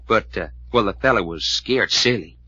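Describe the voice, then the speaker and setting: An animated man, a folksy adventurer's sidekick. He spins things out in colloquial style with a bright, rough-edged voice. The sound is a dull old radio recording. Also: on the low side, moderate pace, no room sound, full character voice. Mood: animated storytelling. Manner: colloquial, drawling storyteller. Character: Doc, folksy, excitable male adventurer sidekick. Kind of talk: old-time radio serial acting.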